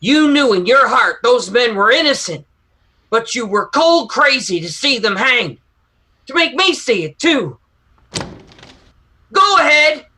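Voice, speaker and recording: A man's voice: very high-pitched, sharp tone, slight background noise, heavily acted. An actor playing a bitter, anguished young man in a western radio drama, accusing with heavy emphasis. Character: anguished young son bitterly accusing his cruel father.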